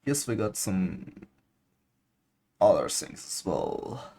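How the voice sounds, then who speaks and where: A man's voice: on the low side, sing-song, slow, mellow, a little hiss or hum. A man giving casual gameplay commentary, thinking aloud and often exclaiming. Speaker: gaming streamer. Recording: game music behind voice. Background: game music.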